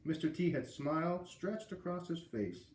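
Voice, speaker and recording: A man's voice: on the high side, animated, moderate pace, a little mellow, echoey room, a little hiss or hum. A man with an American accent reading a children's book aloud, with casual asides. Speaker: read-aloud reader.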